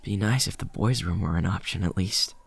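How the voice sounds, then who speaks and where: A man voicing a protective teenage older brother in a video game fan dub, gentle and a little awkward. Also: sits low, a little fast, vocal fry, husky, exaggerated voice. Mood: concerned, gentle. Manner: gentle, reassuring, slightly awkward.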